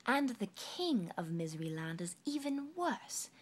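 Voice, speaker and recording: A woman reads a children's story aloud. She takes her time, narrating slowly and expressively in a hushed, medium-pitched voice. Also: sing-song, slightly rough. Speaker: storybook reader.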